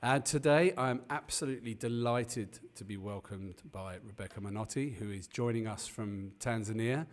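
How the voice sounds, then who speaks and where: A man's voice: lowish voice, moderate pace, few pauses. A man hosting an interview over a video call, chatting in a friendly, rambling way with many restarts. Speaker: podcast host. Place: Cape Town.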